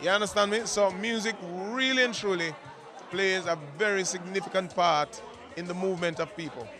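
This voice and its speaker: Earnest high-voiced man, emphatic interviewee, with music and a crowd behind. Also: a little fast. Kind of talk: casual interview, promotional.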